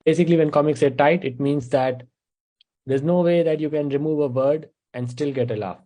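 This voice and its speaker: A man's voice: a little high, brisk. A young man from India with an Indian English accent, a stand-up comedian explaining his craft in a casual interview, step by step with many fillers. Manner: explains in steady steps, with frequent uh fillers.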